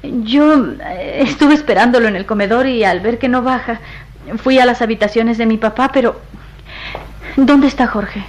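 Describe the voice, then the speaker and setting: A woman's voice: on the high side, normal speed, highs cut off, slight background noise, lightly acted. An actress playing a devoted, innocent woman in love in a radio drama, hesitant and emotive. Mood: searching, puzzled. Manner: hesitant, emotive, questioning delivery. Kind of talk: melodramatic radio drama acting.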